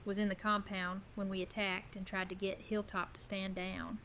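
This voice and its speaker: A woman's voice: medium pitch, level tone, moderate pace, fairly bright tone, slight background noise, lightly acted. A woman playing a weary, steadfast leader of survivors in an audio drama. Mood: matter-of-fact. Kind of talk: fan audio drama acting.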